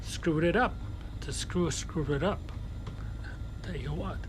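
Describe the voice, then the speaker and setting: A man giving relaxed, casual commentary while flying a flight simulator at his home desk, often correcting himself mid-sentence. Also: on the high side, slow, noisy background. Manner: chatty, self-correcting, relaxed.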